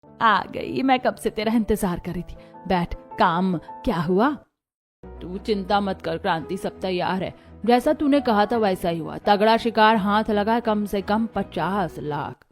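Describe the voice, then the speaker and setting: A woman's voice: medium pitch, animated, measured pace, close to an everyday voice. A woman playing a shady, confiding accomplice plotting in a family story drama. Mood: conspiratorial. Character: shady, confiding middle-aged female accomplice in schemes. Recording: music behind the voice.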